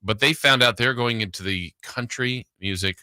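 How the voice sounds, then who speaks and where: Guy hosting sports radio, really bright punchy voice, chatty and into it, dry studio sound. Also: mid-range voice, moderate pace, no echo. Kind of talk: casual radio chat.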